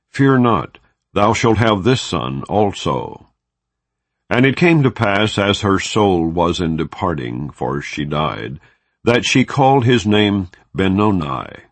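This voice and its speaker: A very deep male voice reads scripture in a slow, solemn, measured way. His pitch swings widely, rising high and dropping low from phrase to phrase. The sound is dry and close, with no room around it. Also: no room sound. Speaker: scripture reader.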